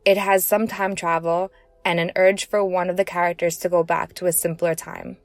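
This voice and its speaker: A woman's voice: fairly low pitch, little pitch movement, normal speed, dry recording. A woman giving a prepared personal commentary as a listener contributing to a podcast.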